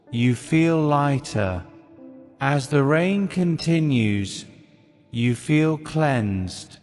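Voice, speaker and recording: A man guiding a sleep hypnosis in a soothing, unhurried way, with short phrases and long pauses. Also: medium pitch. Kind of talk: guided sleep hypnosis, scripted meditation narration. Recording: long reverb with music behind. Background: calming music.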